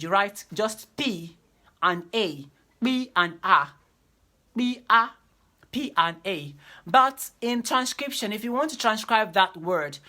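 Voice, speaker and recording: A woman giving a lecture on teaching diction, repeating key phrases and slowing down to stress her points. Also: medium pitch, pushed and bright.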